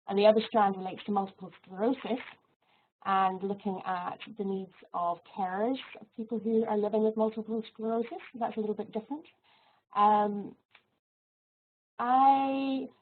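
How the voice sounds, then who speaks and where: A woman lecturer giving an online teaching webinar in a friendly, measured way, with soft laughs and fillers. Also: mid-range voice, slightly rough. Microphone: video call microphone. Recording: tinny phone-like audio.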